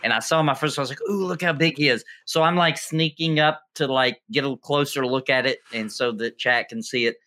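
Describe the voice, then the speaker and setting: A man streamer shares game stories in a relaxed, chatty way, full of "you know"s. His voice is very bright and sharp, at a middle pitch for a man. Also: brisk, few pauses. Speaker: streamer, co-host. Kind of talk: casual gaming chat.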